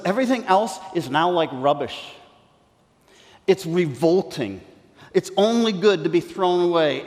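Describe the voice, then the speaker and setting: A man delivers a sermon in a church hall, walking through scripture line by line. His voice sits slightly high, and a long reverb trails every phrase. He presses key words with force, then sinks to a quiet, intense hush. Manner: expository preaching, emphatic, drops to quiet intensity. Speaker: pastor.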